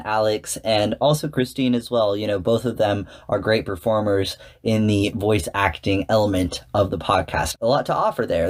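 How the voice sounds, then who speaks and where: Articulate, theatrical man hosting a podcast. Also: fairly low pitch. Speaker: podcast host and reviewer. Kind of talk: scripted podcast commentary.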